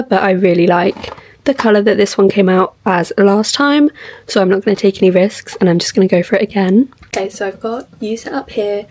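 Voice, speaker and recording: A young woman from the UK talks chattily to camera at home, her voice husky and a touch croaky after being ill. She thinks aloud as she goes and pokes fun at herself. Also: mid-range voice, darkish tone, recorded from a distance. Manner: chatty, self-deprecating, thinks aloud while working. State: slightly croaky from illness. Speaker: vlogger. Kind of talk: casual vlog talk to camera. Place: home, in front of a mirror.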